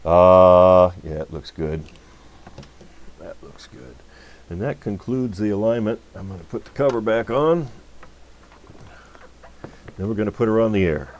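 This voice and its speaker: Deep-voiced man at a workbench. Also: muffled recording, a little hiss or hum. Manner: unhurried, muttering to himself while working. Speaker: electronics repair hobbyist, channel host. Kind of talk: casual hands-on workbench demonstration. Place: indoor electronics workbench.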